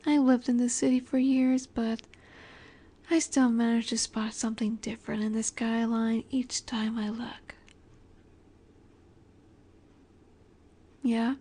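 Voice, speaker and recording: Casting: actress as a seductive vampire heiress for intimate audio roleplay, mellow and breathy, unhurried, teasing, often whispering, softly possessive. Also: female voice, a little high, level tone. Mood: tender, soothing. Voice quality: soft, breathy.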